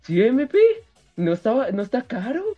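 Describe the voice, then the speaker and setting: A man's voice: high-pitched, lively intonation, brisk, no room sound. Colombian man chatting, muffled, music behind. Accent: Colombian Spanish. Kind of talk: casual group chat.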